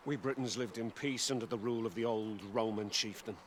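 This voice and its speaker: An actor playing a proud, defiant captive chief of ancient Britons in a video game, grave and emphatic. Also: male voice, medium pitch, a little fast, a little hiss or hum, close to an everyday voice. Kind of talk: video game cutscene acting.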